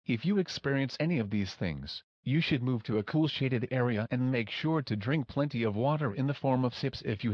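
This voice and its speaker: A man acting as an emergency weather announcer. His voice is mid-pitched and matter-of-fact, reading a scripted alert in dry, slightly muffled lo-fi audio. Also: no room sound, close to an everyday voice.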